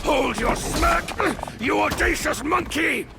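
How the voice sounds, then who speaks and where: Brief: a vengeful demon boss for a game. High, wildly swinging, gravelly, drawn-out delivery over thick ambience. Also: male voice, loud music behind the voice, exaggerated voice. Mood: taunting. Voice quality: gravelly, shouting. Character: savage male demon boss, boastful and vengeful. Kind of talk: video game boss cutscene acting.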